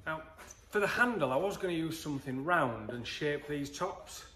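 A man in his thirties talks viewers through a woodworking build in a relaxed, chatty way. His voice sits a little high. The sound bounces around a hard-walled workshop, with a faint hum underneath. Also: moderate pace. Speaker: woodworking channel host. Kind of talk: casual workshop tutorial. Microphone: handheld phone camera, moving. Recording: echoey workshop with faint noise.

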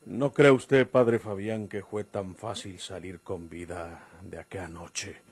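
An actor playing a wily, menacing Mexican outlaw in a radio drama, with rustic, commanding speech. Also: male voice, low-pitched, fairly even intonation, slow, a little mellow. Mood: grim, defiant. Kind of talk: golden-age radio drama acting.

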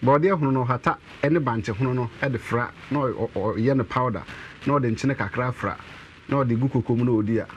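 A Ghanaian man in his thirties, an herbal medicine representative, explaining with animated gestures in a studio interview. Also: mid-range voice, fairly quick, lo-fi sound, slight background noise. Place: TV and radio studio.

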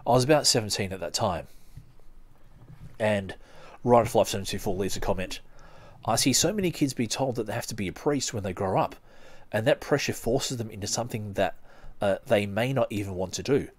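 A man hosting a podcast, reading aloud and commenting casually in a halting, rambling way. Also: fairly low pitch. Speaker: podcast host.

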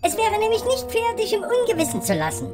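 Lady doing a high bleaty billy goat, super polite and wordy, voice jumps around, fairy tale radio play w music. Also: wildly swooping pitch, quick pace, full character voice. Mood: polite, evasive. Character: male billy goat, bleating, polite, earnest, self-sacrificing. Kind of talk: audio fairy-tale parody acting.

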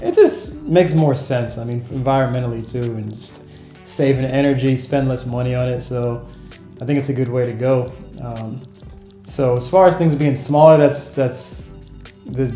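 A young man with an American accent talks casually in an easygoing, lightly amused manner. His voice is soft-edged and mellow. The room adds noticeable echo, and music plays behind him. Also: mid-range voice. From United States. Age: young adult. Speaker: vlogger. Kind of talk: casual chat to camera. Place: small room in a home, indoors.

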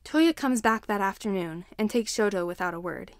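Female story narrator, medium pitch, expressive scripted reading in a dry, echo-free space. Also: moderate pace. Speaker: fan fiction narrator.

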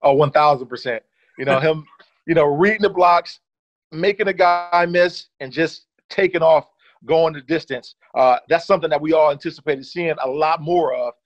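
A man's voice: fairly high pitch, normal speed, no echo. Man analyzing football over a video call. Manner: relaxed, leans on "certainly" and "uh". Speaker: former running back, analyst guest. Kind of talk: casual football film breakdown. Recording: dull dry video-call audio.

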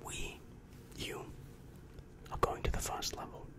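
Young man as a slow whispering demon counselor. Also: natural voice. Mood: patient, instructive. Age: young adult. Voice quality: breathy unvoiced whisper. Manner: slow, soothing, patient explaining. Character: calm, reassuring male demon guidance counselor of hell. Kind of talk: ASMR roleplay. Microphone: close-up microphone with foam windscreen.

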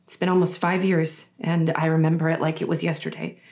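A young woman reading a first-person testimonial monologue deliberately, with dramatic pauses. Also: low-pitched, flat delivery, darkish tone. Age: young adult. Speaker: reader of a survivor's story. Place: indoors against a dark backdrop.

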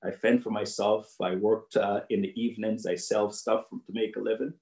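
A man's voice: lowish voice, flat delivery, moderate pace, a little mellow, reverberant. A middle-aged man from Jamaica with a Jamaican accent, telling a personal story to young people in an earnest way over a video call from his home office. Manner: earnest storytelling, repeats phrases for emphasis. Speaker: guest speaker.

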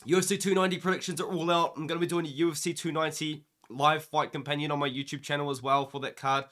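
A sick young man, losing his voice, giving an excited, rambling casual reaction vlog into a webcam indoors. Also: a little high, fast. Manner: excited, rambling, says "man" and "dude" a lot. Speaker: MMA YouTuber.

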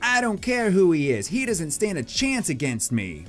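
A man's voice: high voice, huge pitch swings, normal speed, fairly bright tone, faint music behind, pitched above the performer's own voice, full character voice. A man voicing a smug, aloof rival trainer boy in a comic manga reading. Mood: boastful.

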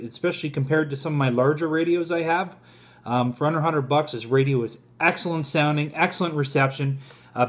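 A man in his forties talking informally to viewers in a casual product review, recorded in a home kitchen on a camera at arm's length. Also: a little high. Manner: informal, addresses viewers as guys.

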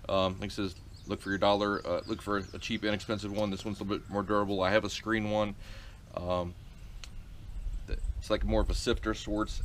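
A man's voice: fairly low pitch, a bit bright, slight background noise. A middle-aged man giving a casual outdoor how-to as a beekeeper, rambling with many fillers and restarts, recorded on a camera mic in a farmyard.

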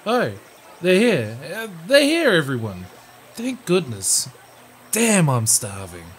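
A man's voice: fairly high pitch, slow pace, a little hiss or hum, exaggerated voice. A man playing a good-natured, competitive big brother in a roleplay audio drama, bantering and teasing. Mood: relieved. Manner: bantering, animated.

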